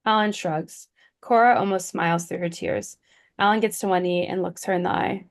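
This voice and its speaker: A woman's voice: lowish voice, steady tone, moderate pace, gravelly. A woman reading stage directions as scripted narration at a screenplay table read.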